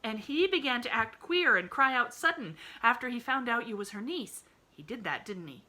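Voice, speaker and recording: A woman voicing an excitable young rural housemaid who speaks in a country dialect and gushes breathlessly. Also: fairly high pitch, moderate pace, pitched above the performer's own voice. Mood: excited.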